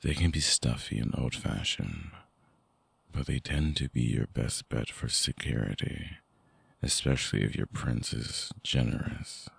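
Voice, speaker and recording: A man playing a lovelorn, seductive vampire ex in an intimate ASMR audio drama, speaking softly and haltingly with a very deep, gravelly, husky voice. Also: no echo, exaggerated voice. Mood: brooding, explanatory. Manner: slow, halting, softly explanatory.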